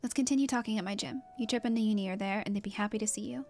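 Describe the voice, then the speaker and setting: A woman voicing a courteous, steady ally in a video game, with composed and polite wording. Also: mid-range voice, racing, soft background music, lightly acted. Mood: welcoming. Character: courteous, steady gym-owning ally of the hero.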